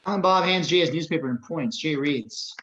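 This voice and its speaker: A man's voice: a little high, fairly quick, fairly bright tone, highs cut off. A man reading out the stage directions of a film script as scripted narration.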